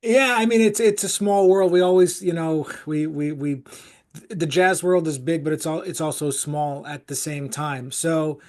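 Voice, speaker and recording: A man hosting a jazz podcast interview. His questions wander and restart, padded with "you know" and "um." His delivery is relaxed and conversational. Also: on the high side, a little slow. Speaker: podcast host.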